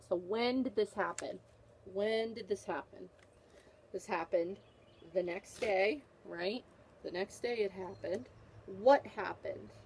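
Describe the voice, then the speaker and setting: A woman's voice: mid-range voice, darkish tone, a little hiss or hum. A woman teacher reading a picture book aloud to children, with an instructive manner, repeating questions to prompt her young listeners.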